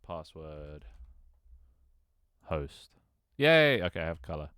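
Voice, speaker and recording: Deep-voiced young man rambling on stream. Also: very animated, slow pace, fairly bright tone, clean tone, dry recording, clean studio sound. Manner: rambling, self-talk with frequent restarts and laughs. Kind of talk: casual live-stream chat while gaming.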